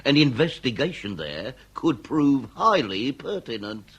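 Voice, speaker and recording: An actor playing a middle-aged Victorian police inspector, pompous and grandiloquent, in a period radio comedy drama. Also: male voice, medium pitch, sing-song, a bit bright, slightly rough, muffled recording, exaggerated voice. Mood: probing, portentous. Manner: grandiloquent, deliberate, commanding.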